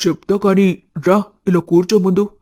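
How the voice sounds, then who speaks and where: A male actor plays an earnest young man in love in a cartoon story. His voice sits a little high for a man, yet it is soft and mellow. The recording is dry and close. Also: flat delivery, moderate pace, dry recording. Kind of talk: scripted cartoon story dialogue.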